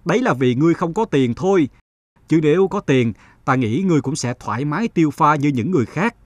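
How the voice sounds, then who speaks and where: Man playing a stern almsgiver, lively delivery. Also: fairly high pitch, fast, dry recording. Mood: stern, probing. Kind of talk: cartoon morality tale acting.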